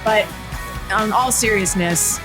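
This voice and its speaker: Woman host, upbeat and chatty, medium pitch, music under the voice. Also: slow pace, poor audio. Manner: enthusiastic, talkative. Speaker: podcast host. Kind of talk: live podcast interview.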